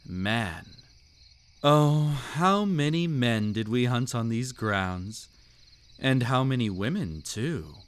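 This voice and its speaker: A man's voice: mid-range voice, fairly bright tone. A man voicing a suave, predatory old vampire count in a scripted roleplay audio, with an aristocratic, silky, teasing and theatrical delivery. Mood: relishing, sinister.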